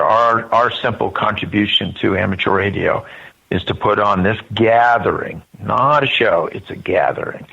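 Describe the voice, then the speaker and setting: A man's voice: fairly low pitch, vocal fry, fairly bright tone. A man giving informal interview answers as a spokesperson, earnest and wandering, often correcting himself, over a dull band-limited line. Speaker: event spokesperson, interview guest.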